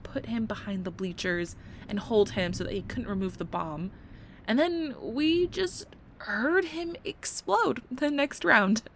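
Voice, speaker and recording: A young woman playing a nerdy, playful girlfriend who rambles about tabletop games in an intimate, casual ASMR roleplay style. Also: fairly high pitch. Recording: storm ambience with thunder behind. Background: thunder and storm noise.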